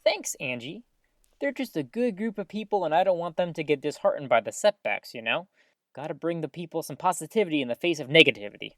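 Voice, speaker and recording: A woman's voice: low voice, expressive, fast talker, a bit bright, no room sound, studio quality. An actress playing an earnest, cheery woman who hosts a small-town community radio show, with a folksy announcing style. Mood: friendly, patient. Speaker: radio host.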